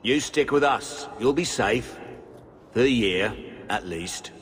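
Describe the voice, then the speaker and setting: An actor playing a gruff, crude male mercenary captain who talks bluntly and swears freely. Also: mid-range voice, animated, slow pace, a bit bright. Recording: game audio with music behind.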